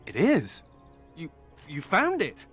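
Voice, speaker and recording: An actor playing a wry, flippant yet guarded fugitive mage in a video game, speaking conversationally. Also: male voice, fairly high pitch, wildly swooping pitch, takes it slowly, fairly bright tone, slightly rough, faint music behind, exaggerated voice. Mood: hesitant, surprised.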